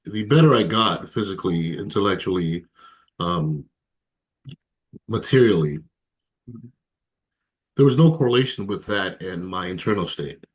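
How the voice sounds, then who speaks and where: A man in his thirties from Ghana, telling his personal story as an interview guest, reflective and hesitant, with many fillers and soft laughs. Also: low-pitched, animated, a little slow, long pauses. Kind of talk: candid personal testimony.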